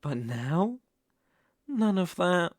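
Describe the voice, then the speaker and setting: A man's voice: high voice, very animated, drawn-out words, dry recording, full character voice. A British man playing an obsessive, possessive young kidnapper in an intimate ASMR roleplay, coaxing and menacing in a condescending way, close on the microphone. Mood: condescending, threatening.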